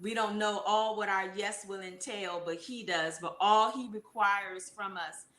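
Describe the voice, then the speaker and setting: Bright-voiced woman hosting a live show. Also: medium pitch, steady tone, measured pace. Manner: exuberant, repeats phrases for emphasis. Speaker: host of a live web show. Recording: roomy echo.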